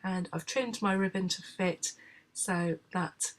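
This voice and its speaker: A woman, hoarse from a cold, calmly explaining a craft project step by step in a tutorial voice-over, with frequent filler sounds. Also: on the low side, slow pace, rough recording.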